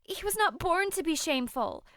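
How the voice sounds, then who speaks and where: Woman doing a distraught young girl in a shakespeare audio play, super high bright voice, really dramatic. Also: animated, moderate pace, dry recording, studio quality, exaggerated voice. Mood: indignant, remorseful. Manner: theatrical, impassioned declaiming. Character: passionate teenage girl, newlywed noblewoman, desperate and grieving.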